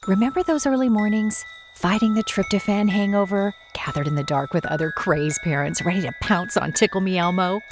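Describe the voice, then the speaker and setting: A woman's voice: medium pitch, fairly quick, music in the background, lightly acted. A woman voicing a mock commercial as a dramatic announcer.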